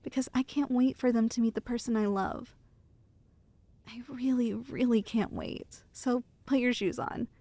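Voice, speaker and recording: A woman's voice: on the high side, fairly even intonation, unhurried, warm tone, no room sound. A young woman playing an affectionate, chatty girlfriend who reassures her partner in an intimate ASMR roleplay. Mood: affectionate, excited. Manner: playful, reassuring, storytelling to one listener. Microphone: close studio mic.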